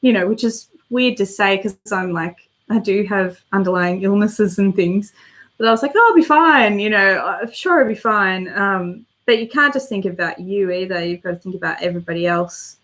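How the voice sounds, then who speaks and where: A woman's voice: mid-range voice, normal speed, fairly bright tone. A woman hosting a casual live stream and answering viewer comments, chatty and meandering as she thinks aloud, slightly congested with a worn voice.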